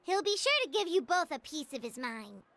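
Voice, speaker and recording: A woman's voice: high-pitched, sing-song, moderate pace, noisy background. A woman voicing a tiny floating female companion who is chirpy, blunt and teasing, in a video game dub. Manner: bubbly, bouncy, teasing. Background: game music.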